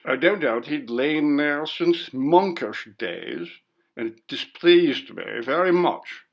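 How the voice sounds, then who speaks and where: A man's voice: on the high side, lively intonation, slow pace, vocal fry, pitched above the performer's own voice, exaggerated voice. A man voicing a pompous, curt college bursar in a ghost story reading, with a disapproving manner. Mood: grave recounting.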